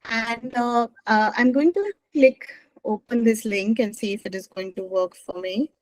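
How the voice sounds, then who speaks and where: A woman's voice: a little high, a little slow, a little mellow, dry recording, rough recording. Gujarati-speaking woman presenting over video call. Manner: rambling, self-correcting, apologetic. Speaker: guest speaker, academic. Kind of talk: online presentation.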